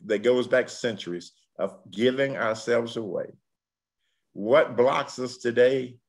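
A man in his sixties with a Southern US accent, a pastor preaching a sermon in rhythmic, repeated refrains. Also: mid-range voice. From Tennessee, United States. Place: room at home. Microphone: computer mic on video call.

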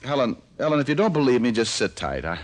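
An American actor playing a flippant, wisecracking private detective with dry delivery in an old radio drama. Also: male voice, medium pitch, very animated, fast talker, natural voice. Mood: sheepish, wry. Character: wisecracking, down-on-his-luck private detective man. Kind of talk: 1940s-50s radio detective acting.

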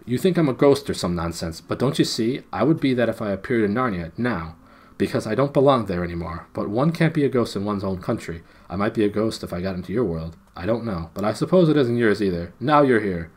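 Man playing eager young king, raised lifted voice, slightly husky, storybook. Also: fairly low pitch, lively intonation, brisk. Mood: reassuring. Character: young king, cheerful and brisk.

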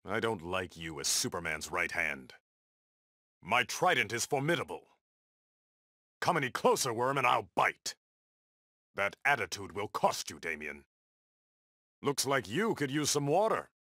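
A man's voice: on the low side, big pitch swings, unhurried, long pauses, pushed and bright, no room sound, studio quality, full character voice. An actor playing a proud, stern undersea warrior king in a video game, with a regal, defiant, declamatory delivery. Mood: cold, menacing. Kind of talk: video game battle dialogue.

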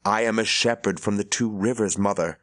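A man's voice: lowish voice, a little fast, fairly bright tone, no echo, clean studio sound, natural voice. A man voicing an earnest young shepherd who speaks with defiant conviction.

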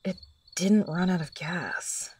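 A woman's voice: on the low side, slow pace, darkish tone, far from the mic, slight background noise. An actress playing a bold, curious teenage student girl, eager and cheeky, a bit off-mic.

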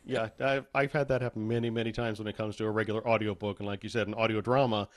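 A man's voice: on the low side, level tone, quick pace, no room sound, studio quality. A man chatting casually as an interview guest. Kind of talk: casual chat.

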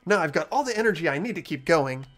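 A man's voice: fairly high pitch, expressive, quick pace, a bit bright. A man voicing an earnest, determined teenage boy in a visual novel game, with emphatic delivery. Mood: relieved, surprised.

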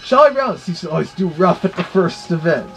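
Man putting on a high, creaky cartoon wolf. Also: big pitch swings, a little fast. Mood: chatty. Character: chatty cartoon big bad wolf reviewer. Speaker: reviewer. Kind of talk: casual running commentary. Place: small bedroom, indoors. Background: record music and dialogue playing.

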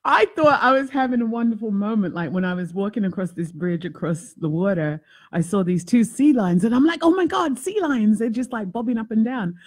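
Husky-voiced chatty woman host. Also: fairly high pitch, fairly quick. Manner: animated, chatty, self-mocking. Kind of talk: casual chat. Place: home office with bookshelves. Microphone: desk mic on boom arm.

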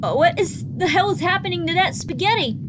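High-pitched, very animated young woman. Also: a little fast, background noise. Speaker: reaction video host. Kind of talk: reading out captions and commenting on a video. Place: small indoor room. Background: music from the video being watched.

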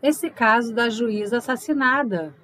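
A woman's voice: fairly high pitch, a little slow, fairly bright tone. A woman playing a teasing, inquisitive, unsentimental girlfriend in an audio drama, probing and playful. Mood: concerned, probing.

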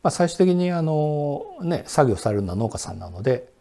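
A man in his sixties, a sake brewery director, answering interview questions in a relaxed, explanatory way with many fillers, seated in a quiet indoor room. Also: a little high, moderate pace, gravelly. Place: indoor Japanese room with sliding doors.

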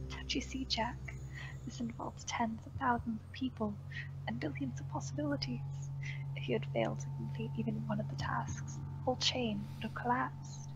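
A woman voicing a calm, manipulative female seer in a horror story reading, in a whispery, soothing, slow hushed voice. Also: fairly high pitch, heavy background noise, natural voice.

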